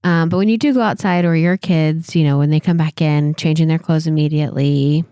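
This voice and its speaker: A woman nurse practitioner, co-hosting a health podcast, sniffly and giving steady, practical advice. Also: low voice, brisk, clean tone, dry recording, studio quality. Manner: steady, practical explaining, frequent agreeing hums. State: sniffly, stuffy. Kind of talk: casual health podcast chat.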